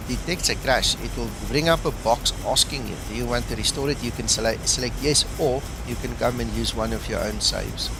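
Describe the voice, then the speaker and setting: Male streamer, non-native English speaker, low voice, explains patiently, noisy capture. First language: not English. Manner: explains step by step, replies to chat. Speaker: flight sim streamer. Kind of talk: casual live-stream chat.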